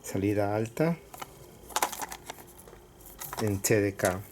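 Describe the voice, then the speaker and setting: Slow, low-key man over background noise. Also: expressive. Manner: halting, pausing between short phrases. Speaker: collector showing cassettes for sale. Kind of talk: casual product showcase. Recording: clear background noise with clicks.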